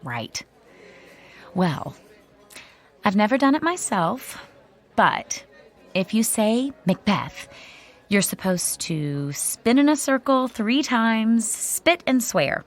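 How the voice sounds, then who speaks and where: A woman's voice: a little high, big pitch swings, slow pace, long pauses. A woman playing Diane, a chatty theater crew member who explains magic lore with relish. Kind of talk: radio drama acting.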